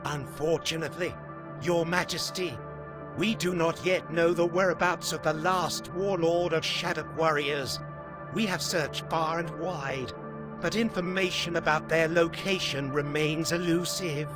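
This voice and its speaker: A sober, loyal warrior played by a man in a fantasy game cutscene. His fairly high voice is formal and earnest. Music is clearly heard behind. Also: fairly even intonation, measured pace, close to an everyday voice.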